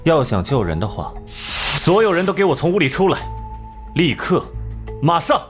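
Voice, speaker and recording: A man playing a composed, sharp-tongued genius detective in an animated crime drama, measured and condescending. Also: on the high side, soft background music. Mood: firm, urgent.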